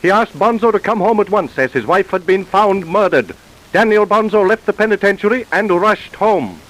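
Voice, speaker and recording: A man's voice: sits high, lively intonation, moderate pace, a bit bright, rough recording. A man narrating an old-time American radio crime drama in solemn, scripted style.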